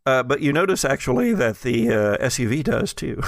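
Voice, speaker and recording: A man narrating scenes as game master of a tabletop roleplaying podcast, in a halting, often jokey way. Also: mid-range voice, big pitch swings, dry recording.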